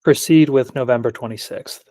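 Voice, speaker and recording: A man presenting a live software demo on a video call, speaking in plain short statements and requests. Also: medium pitch, normal speed, no room sound.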